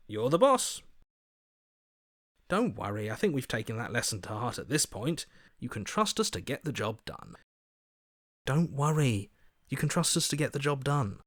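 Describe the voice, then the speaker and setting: An actor playing an ingratiating, two-faced schemer in a video game, with a glib, wheedling way of talking. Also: male voice, fairly high pitch, no room sound, clean studio sound. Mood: obsequious, slippery. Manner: glib, wheedling, flattering, backpedalling. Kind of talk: video game character voice acting.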